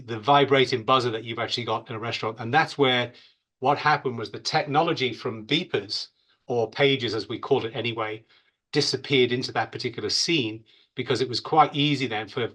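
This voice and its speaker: A man speaks as an expert guest in an interview. His voice is medium in pitch, bright and forceful. He explains off the cuff, emphatic yet conversational, leaning on stock phrases.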